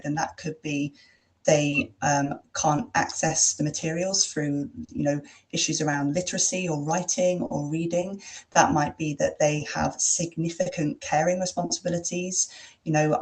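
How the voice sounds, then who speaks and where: A woman doctor in a podcast discussion, explaining carefully in an earnest, measured way. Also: low-pitched, low-quality recording. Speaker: headache specialist and GP.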